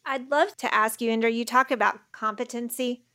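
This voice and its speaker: A young woman with a high voice interviewing a guest, asking earnest, curious questions. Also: steady tone, fairly quick, pushed and bright. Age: young adult.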